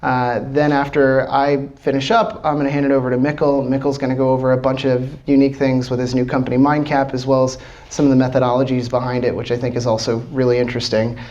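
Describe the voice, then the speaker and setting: American dude giving a loose chatty talk to a tiny crowd, lots of ums, swears a bit. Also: male voice, medium pitch, flat delivery, fast talker, a little mellow, highs cut off. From Washington, D.C. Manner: loose and chatty, many fillers, swears freely. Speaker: startup founder giving a talk. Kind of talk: casual live presentation. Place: small room with a small live audience.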